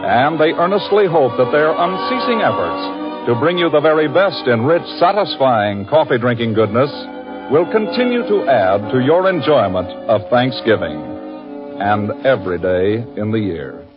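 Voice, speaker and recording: A man's voice: mid-range voice, big pitch swings, normal speed. Casting: male 1940s American radio announcer, earnest and stately, music underneath, dull old broadcast sound. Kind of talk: 1940s sponsored radio scripted narration.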